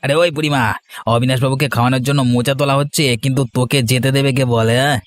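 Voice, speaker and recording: Man playing a fast-talking scheming village villain. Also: mid-range voice, steady tone, dry recording, close to an everyday voice. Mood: taunting, gloating. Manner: taunting, wheedling, drawn-out pleading. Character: scheming jealous village villain, whiny and pleading when beaten. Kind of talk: Bengali cartoon story acting.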